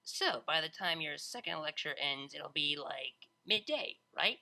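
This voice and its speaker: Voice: mid-range voice, lively intonation, unhurried, vocal fry, sharp tone, exaggerated voice. A narrator playing a brash, slangy pony DJ girl. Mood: upbeat, chatty. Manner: slangy, casual, blunt. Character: brash, playful young female pony DJ, slangy and casual.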